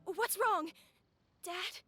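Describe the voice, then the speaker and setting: High-pitched worried small child. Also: female voice, extremely slow, over music, heavily acted. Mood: frightened. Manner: anxious, pleading. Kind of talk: video game character acting.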